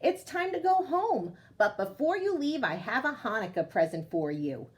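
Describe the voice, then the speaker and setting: Woman acting out a hospitable old grandma, high-pitched, very animated, fussing and coaxing, storybook style. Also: moderate pace. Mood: kindly, fussing.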